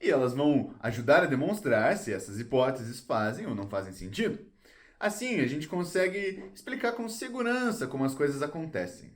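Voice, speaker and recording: A man plays a patient, didactic guide in an educational audio drama. His mid-pitched voice moves up and down with theatrical emphasis as he explains and encourages. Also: big pitch swings, normal speed, natural voice. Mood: patient, explaining. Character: patient, didactic male guide to detective reasoning.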